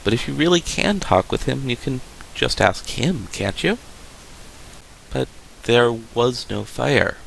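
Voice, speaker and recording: A calm, probing doctor from a video game, counselling in a measured way. His voice has a gravelly fry, with a clear hiss underneath. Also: medium pitch, heavily acted, low-quality recording. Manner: measured, questioning. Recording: faint steady hiss.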